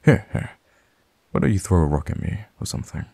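A man playing a mysterious, outwardly friendly vampire hermit in an ASMR audio roleplay, talking in a halting, softly teasing way with quiet menace. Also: sits low, animated, vocal fry, slightly rough, dry recording, heavily acted. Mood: wry, teasing. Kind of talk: ASMR audio roleplay, intimate in-character monologue.

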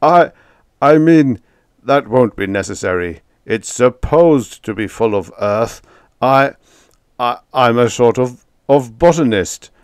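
A man voicing a secretive, nervous male vampire lodger who talks in a loud, clipped, guarded way. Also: on the low side, expressive, takes it slowly, no room sound, studio quality.

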